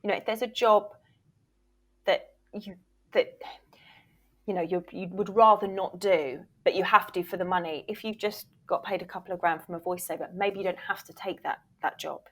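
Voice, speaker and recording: A woman's voice: lowish voice, steady tone, moderate pace. A woman giving candid, emphatic answers as a guest in a conversational interview. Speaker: podcast guest, voiceover reel producer and former agent.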